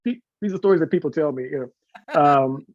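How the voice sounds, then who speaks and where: An American man, an interview guest and singer, telling casual anecdotes in an animated way and acting out the dialogue. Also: a little high, fairly quick. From St. Louis, United States. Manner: animated, acts out quoted dialogue. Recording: echoey, dull lo-fi audio.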